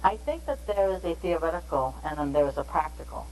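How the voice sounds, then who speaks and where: A woman giving a measured, explanatory training lecture on interpreting, pausing between phrases. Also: low voice, big pitch swings, a little mellow, a little hiss or hum, low-quality recording.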